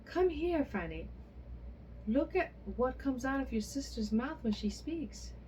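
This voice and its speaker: A woman voicing a greedy, excitable middle-aged mother with loud, shrill exclamations. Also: measured pace, slight background noise, low-quality recording. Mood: shrill excited.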